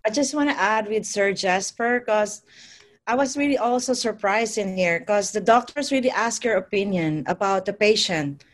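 A woman, a nurse talking earnestly on a panel, with halting restarts. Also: medium pitch. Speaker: nurse guest. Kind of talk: casual panel talk.

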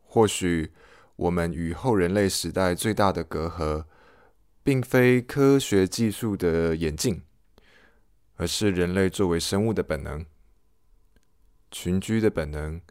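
A man's voice: low voice, a little slow, long pauses, darkish tone, smooth, dry recording, clean studio sound. A man podcast host delivering a scripted, reflective personal monologue.

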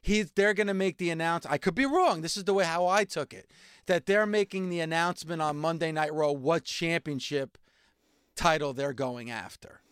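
A man hosting a talk radio show, thinking out loud and restarting his sentences as he argues his point. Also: a little high, moderate pace, smooth, no room sound, studio quality. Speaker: radio host.

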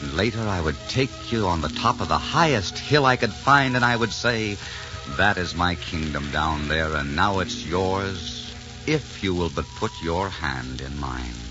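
A man's voice: low voice, lively intonation, measured pace, over music. An actor playing a suave, ardent French nobleman in a courtly, theatrical 1940s radio romance. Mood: passionate. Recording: muffled old 1940s radio recording.

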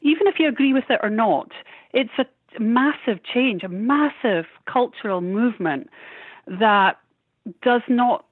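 A woman's voice: a little high, expressive, unhurried, breathy edge, dry recording. Outspoken woman in her fifties, tinny line. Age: 50s. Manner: passionate, outspoken, emphatic. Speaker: musician guest. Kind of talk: candid interview conversation. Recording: tinny phone-line sound.

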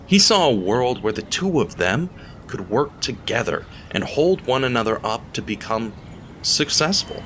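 Deep-voiced, expressive male storyteller. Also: a little hiss or hum. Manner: deliberate, theatrical, addresses the listener directly. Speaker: narrator of an audio drama. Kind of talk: dramatic scripted storytelling narration.

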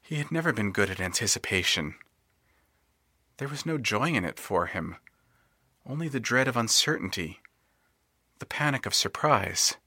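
A man narrating an audio drama in scripted, expressive storytelling, swelling with tension and dropping to hushed tones. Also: on the low side, moderate pace, long pauses, no room sound, studio quality.

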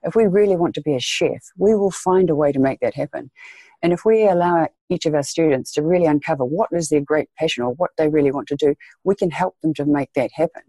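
A woman's voice: low-pitched, fast, mellow, dry recording. A woman from New Zealand with a New Zealand accent, speaking earnestly as a podcast guest and repeating phrases for emphasis. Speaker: guest, education leader. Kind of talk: podcast interview.

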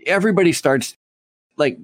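A man's voice: a little high, lively intonation, moderate pace. A man hosting an interview podcast, talking with energy and stressing his points with repeated phrases. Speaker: podcast host. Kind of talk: conversational interview.

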